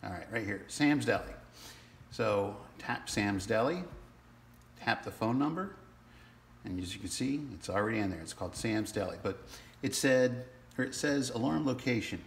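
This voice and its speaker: A man giving a casual, halting step-by-step walkthrough as a product demonstrator in a small indoor room. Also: on the low side, creaky. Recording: roomy sound with faint background noise.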